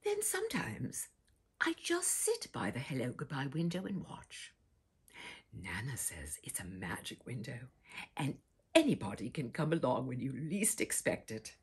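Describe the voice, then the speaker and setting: Older female storyteller, sixties, very husky, slow and theatrical, dropping to breathy whispers for young listeners. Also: a bass voice, huge pitch swings. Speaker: storyteller, host. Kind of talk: theatrical children's picture-book read-aloud. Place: small furnished room indoors with bookshelves.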